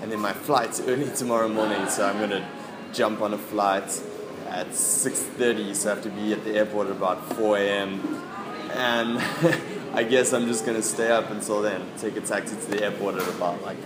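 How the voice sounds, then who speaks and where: A man's voice: on the low side, moderate pace, echoey room, heavy background noise. A young man from South Africa, a travel vlogger in casual, upbeat chat. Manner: upbeat, relaxed, says "cool" and "yeah" often. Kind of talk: casual chat and vlog narration.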